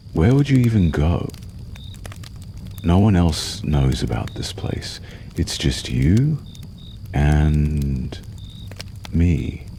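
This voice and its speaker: Very deep, slow vampire lover acted by a man. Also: mellow, slight background noise, heavily acted. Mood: menacing, taunting, then seductive, hungry. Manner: slow, soothing, menacingly tender, lingering pauses. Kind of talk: ASMR roleplay, intimate storytelling.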